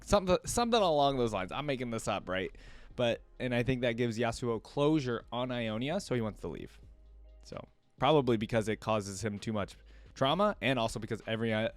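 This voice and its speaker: A man's voice: on the low side, no room sound. A man hosting a reaction video, giving casual, informal commentary in a conversational way. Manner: informal, conversational, checks in with "you know what I mean". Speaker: reaction video host and streamer.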